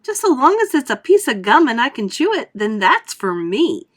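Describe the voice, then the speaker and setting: A bratty gum-chewing girl, shrill and stubborn, shrieking her demands in a high voice, as a woman puts her on while reading a story aloud. The voice jumps well above the reader's usual pitch and comes out loud. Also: very animated, fairly quick. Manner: shrill, obstinate, shouting.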